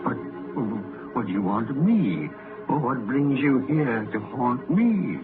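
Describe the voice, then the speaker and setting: A frightened old miser in a vintage radio play. He pleads and falters, repeating himself slowly with wide swings of pitch. The sound is a thin old broadcast with music behind. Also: sing-song, narrow, tinny audio. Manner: stammering, repetitive, faltering. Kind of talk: 1930s radio drama acting.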